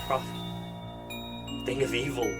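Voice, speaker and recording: A man's voice: mid-range voice, little pitch movement, slow pace, close to an everyday voice. A man playing an anguished figure in a gothic audio drama, delivering impassioned, imploring verse in a theatrical manner. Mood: pleading. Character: anguished man pleading with and banishing a demonic bird. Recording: music behind the voice.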